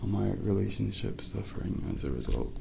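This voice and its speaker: ASMR taxi driver role: male, low and gravelly yet hushed, reassuring slow delivery over clear rainy car ambience. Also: animated, noisy background. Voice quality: soft, breathy, often near-whispered. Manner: gentle, unhurried, reassuring. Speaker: ASMR role-play performer as late-night taxi driver. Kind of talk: soft-spoken ASMR role play. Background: rain and car sounds.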